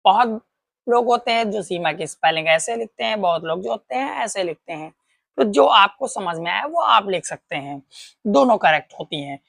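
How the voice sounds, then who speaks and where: A woman's voice: medium pitch, lively intonation, normal speed, gravelly, a bit bright, dry recording, studio quality. A woman teaching a patient, step-by-step grammar lesson, asking questions and answering them herself. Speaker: English teacher.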